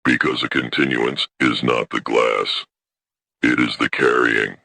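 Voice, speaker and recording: A man playing an old, weary alien elder who speaks in solemn, stern, formal declarations with a gravelly creak, in a science fiction audio story. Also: rumbling low, steady tone, a little slow, bright, forceful tone, no echo, exaggerated voice.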